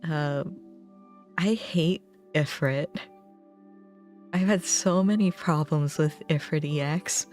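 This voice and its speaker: A woman's voice: deep voice, slow, long pauses, a little mellow, faint music behind. A woman livestreamer chatting casually with viewers in a rambling, self-deprecating way. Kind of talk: casual gaming stream chat.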